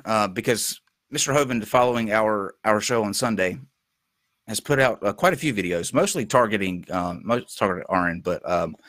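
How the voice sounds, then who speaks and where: A man hosting a casual talk show, losing his voice and talking haltingly with many fillers. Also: medium pitch, fairly bright tone, dry recording. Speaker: show host.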